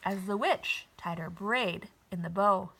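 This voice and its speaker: A woman narrating a children's story expressively, with a deliberate, rhythmic rhyming delivery. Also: medium pitch, gravelly, fairly bright tone, breathy edge, rough recording. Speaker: storybook reader.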